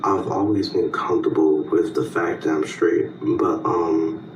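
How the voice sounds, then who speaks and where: A man talking to camera in a casual, halting way, with many "like"s. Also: deep voice, steady tone, dull audio, faint music behind. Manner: halting, fillers like "like". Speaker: video clip speaker. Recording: roomy clip from a distance.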